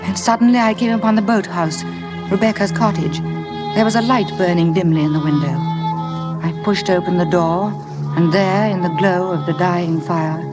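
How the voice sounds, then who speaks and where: An actress playing an earnest, devoted young wife in a 1950s radio drama, with a breathless, emotional manner. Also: female voice, on the low side, moderate pace, loud music behind the voice, natural voice. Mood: hushed narrating. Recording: noisy old 1950 radio broadcast.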